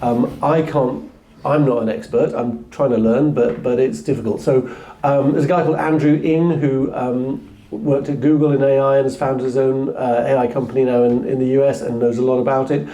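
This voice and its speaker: A man's voice: mid-range voice, steady tone, normal speed, mellow, rough recording. A man speaking on a tech panel, self-deprecating and full of restarts and repeated words. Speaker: panelist, CTO. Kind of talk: casual panel talk.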